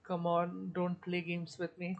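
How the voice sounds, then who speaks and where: Young adventurer, male, high gravelly voice, flat delivery, pointed questions, dull lo-fi sound. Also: a little slow. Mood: pressing. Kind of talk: video game dialogue.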